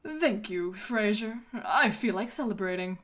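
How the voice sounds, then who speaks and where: A man's voice: high-pitched, animated, a little slow, a bit bright. Nervy, refined male snob, played by a man, middle-aged, fussy, swooping delivery, comedy fandub with music behind. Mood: relieved, admiring. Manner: fussy, melodramatic, snobbish diction. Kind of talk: comic fandub acting.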